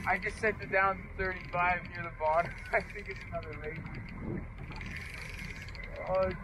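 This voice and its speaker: Young man, fairly high voice, slangy fishing chat, repeated phrases, loud outdoor boat noise. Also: steady tone, normal speed. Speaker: fishing vlog host. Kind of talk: casual excited fishing chat to viewers. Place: small open motorboat on open water.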